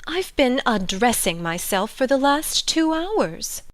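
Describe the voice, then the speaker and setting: A man voicing a helpless, muddled old queen in a storybook, thin and breathy, with a plaintive, melancholy manner. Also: very high-pitched, very animated, slow pace, a bit bright, voice pushed up, heavily acted. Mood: fretful, helpless. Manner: plaintive, sighing, dotty.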